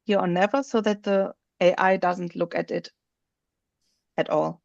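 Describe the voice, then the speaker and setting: Lady explaining software slowly on a call, gravelly voice, dull lo-fi sound. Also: lowish voice, steady tone, no echo. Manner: slow, explanatory, thinks aloud while showing her screen. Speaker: webinar presenter. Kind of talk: software tutorial on a video call.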